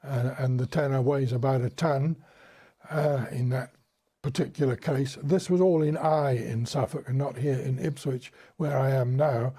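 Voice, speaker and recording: A man's voice: mid-range voice, steady tone, moderate pace, mellow, slightly rough. An elderly man with an English accent, recalling and explaining things as an interview guest in a courteous, formally worded, hesitant way. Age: 70s or older. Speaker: interview guest, bell ringer. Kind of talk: unscripted recollection and explanation in a broadcast interview.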